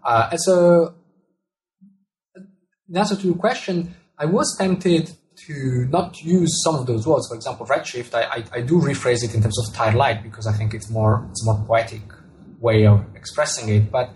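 A man's voice: mid-range voice, normal speed, a little mellow, rough recording. A man with an Italian accent, an author answering interview questions thoughtfully, often repeating words and restarting his sentences. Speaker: author and astronomer.

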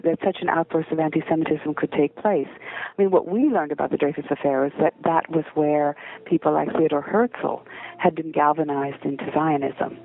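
American woman over a tinny phone line. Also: deep voice. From United States. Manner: articulate, thoughtful, some small stumbles. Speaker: historian and author guest. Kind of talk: interview answers.

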